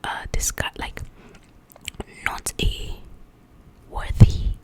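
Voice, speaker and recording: A woman whispering in an ASMR style as she casually chats about a book in a rambling, animated, informal way. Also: very slow. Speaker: ASMR creator reviewing a book.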